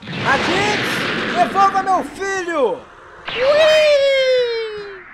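A man's voice: very high voice, animated, very slow, over loud music, heavily acted. A man with a Brazilian accent playing a cheerful, dim-witted young hero in a comedic anime parody dub. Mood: strained, loud. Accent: Brazilian Portuguese. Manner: naive, guileless.

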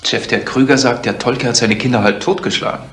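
A man's voice: mid-range voice, fairly even intonation, brisk, fairly bright tone, roomy sound, natural voice. An actor playing a dutiful police assistant reporting to his chief in a German radio crime drama. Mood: businesslike.

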